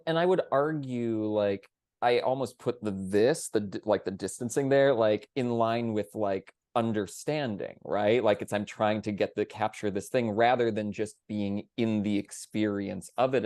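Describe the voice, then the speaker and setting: A man's voice: fairly low pitch, measured pace, darkish tone, no echo, studio quality. A man talking conversationally on a theater panel, earnest and emphatic, circling around his phrasing.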